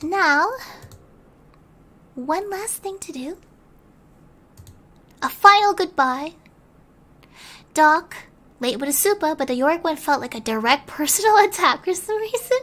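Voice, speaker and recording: Woman, ASMR storyteller, soft and whispery, high-pitched. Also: expressive, unhurried, pauses often. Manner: soft-spoken, sometimes whispering. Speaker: streamer.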